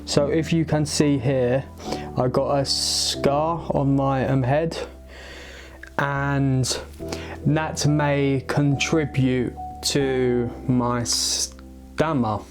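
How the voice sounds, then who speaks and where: Young man, stammer, slow halting delivery, guitar music behind. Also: mid-range voice, level tone. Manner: stammering, halting with many pauses and restarts. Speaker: vlogger. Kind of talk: personal story to camera.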